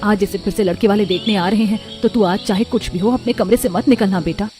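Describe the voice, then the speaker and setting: A woman's voice: medium pitch, fairly even intonation, a little fast, warm tone, faint music behind, close to an everyday voice. A woman voicing a worried middle-aged mother in a cartoon story, speaking in a maternal, pleading way. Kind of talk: Hindi cartoon story voice acting.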